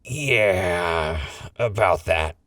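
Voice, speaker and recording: A man's voice: low voice, animated, drawn-out words, background noise, full character voice. A man playing a wry, inquisitive sidekick investigator in a radio drama, folksy and conversational.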